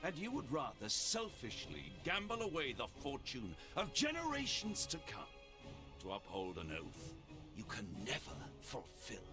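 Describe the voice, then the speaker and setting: An actor playing an imperious, coldly persuasive warlord in a video game, theatrical and condescending. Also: male voice, mid-range voice, wildly swooping pitch, measured pace, over music.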